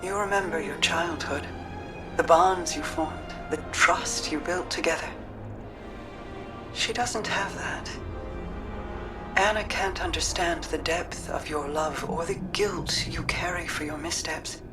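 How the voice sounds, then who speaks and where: Calm, distant-sounding wise confidant woman. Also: lowish voice, takes it slowly. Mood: gentle, knowing. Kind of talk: video game cutscene acting. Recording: distant, music behind.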